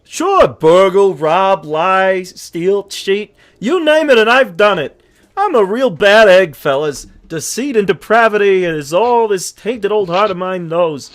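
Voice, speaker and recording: A talking horse in a comic fandub, pitched very high. He is an eager would-be rogue who spins his own tale with theatrical relish and old-fashioned, folksy turns of phrase. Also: big pitch swings, slow, exaggerated voice. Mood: eager, boastful. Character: self-pitying talking horse, eager cheerful rogue wannabe. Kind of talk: comic comic-book fandub reading with storytelling flourishes.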